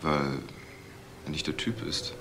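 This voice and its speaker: An actor playing a jobless, defensive typesetter in a crime drama, giving hesitant, guarded short answers. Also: male voice, very deep voice, expressive, slow, background noise, full character voice. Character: jobless male typesetter, defensive and uneasy under questioning. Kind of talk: German TV crime drama dialogue.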